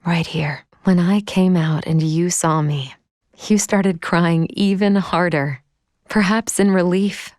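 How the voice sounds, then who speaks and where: An actress playing a calm, analytical android companion in a video game, gently caring, with formal, precise diction. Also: female voice, fairly low pitch, slow pace, no room sound, clean studio sound. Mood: tender, reflective. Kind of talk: video game character dialogue.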